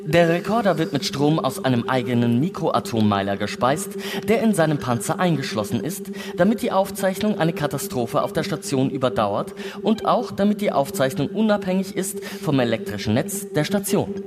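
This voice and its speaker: Man voicing a formal space station computer, swooping pitch. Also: big pitch swings, normal speed, a loud crowd. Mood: formal, impassive. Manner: formal, official announcement diction. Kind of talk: scripted sci-fi radio play reading. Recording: long reverb, loud music behind.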